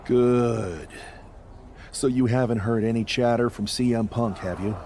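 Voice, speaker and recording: An actor playing a smug, commanding and scheming leader of a wrestling faction in a video game scene. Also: male voice, medium pitch, measured pace, darkish tone, a little hiss or hum, close to an everyday voice. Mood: guarded. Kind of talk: video game cutscene dialogue.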